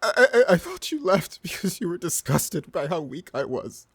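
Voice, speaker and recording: Broken pirate overlord, gravelly and anguished. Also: male voice, sits high, sing-song, moderate pace, exaggerated voice. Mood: tearful remorse. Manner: stammering, anguished pleading. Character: defeated blind pirate overlord, desperate, broken, grieving. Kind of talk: dramatic audio roleplay acting.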